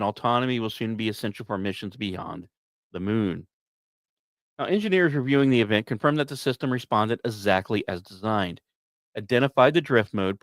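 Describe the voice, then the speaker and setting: A man's voice: lowish voice, dry recording. A man hosting a podcast, reading scripted science news commentary with emphatic, dramatic phrasing and short punchy repeats, dropping in personal asides. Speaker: podcast host.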